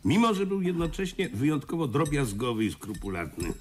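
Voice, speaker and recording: An actor playing a blunt, cynical older uncle in a radio play, speaking in a measured, sardonic, matter-of-fact way. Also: male voice, a little high, sing-song, background noise. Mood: dryly opinionated. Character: cynical, blunt old uncle, calmly ominous, pipe-loving smoker. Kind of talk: Polish radio play acting.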